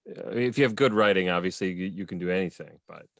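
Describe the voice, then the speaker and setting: A man's voice: deep voice, fast talker, dry recording, clean studio sound. A man speaking as a panelist in a casual video call chat, joking and offhand.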